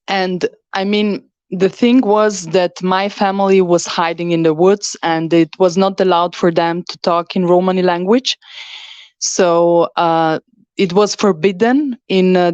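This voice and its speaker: Slow, hesitant woman. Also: lowish voice, a little mellow, clear, resonant tone, no echo, dull audio. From Serbia. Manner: hesitant, many fillers. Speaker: filmmaker on a panel. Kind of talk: festival Q&A answer.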